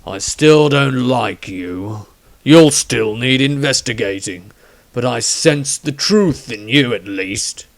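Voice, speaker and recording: A man voicing a menacing witch hunter leader in an audiobook story, speaking slowly and deliberately with a gravelly voice. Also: mid-range voice, expressive. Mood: cold, suspicious. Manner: slow, deliberate, intimidating delivery.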